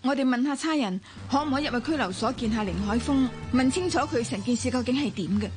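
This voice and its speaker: A high, faltering young woman's voice in a Cantonese radio drama, Hong Kong accent. She sounds sincere and anxious, with faint music behind her. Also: expressive, fast talker. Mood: flustered, apologetic. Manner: hesitant, faltering.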